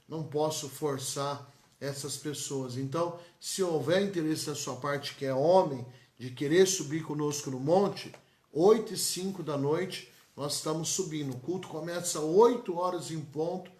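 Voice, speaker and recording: Echoey-voiced São pastor. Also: male voice, on the high side, normal speed, a little mellow. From São Paulo, Brazil. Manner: emphatic and repetitive, folksy asides to viewers. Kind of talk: live-streamed church announcements and blessings. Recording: echoey church room.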